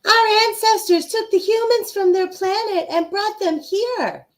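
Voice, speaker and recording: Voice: extremely high pitch, measured pace. A performer voicing a shy young alien schoolchild reciting a lesson in an amateur radio play. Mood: earnest, reciting.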